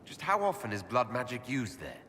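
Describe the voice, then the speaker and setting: A bright-voiced, inquisitive elf hero. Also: male voice, medium pitch, sing-song. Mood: probing. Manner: direct, inquisitive, measured. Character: curious, polite young-adult male elf hero. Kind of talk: video game dialogue acting. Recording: reverberant hall, faint background noise.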